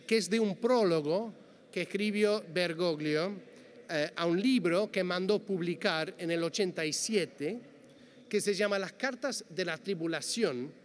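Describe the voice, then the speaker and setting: A man giving a prepared, measured talk as a panel speaker, reading carefully and quoting passages, speaking Spanish as a to a conference audience. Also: high-pitched. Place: large conference hall. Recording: long hall reverb, faint background noise.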